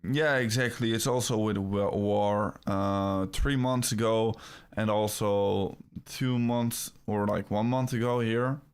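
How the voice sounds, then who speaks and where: A young man with a Dutch accent, hosting a casual crypto livestream, talking in a rambling, halting way with many fillers and restarts while feeling a little ill. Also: lowish voice, steady tone, clean tone. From Netherlands.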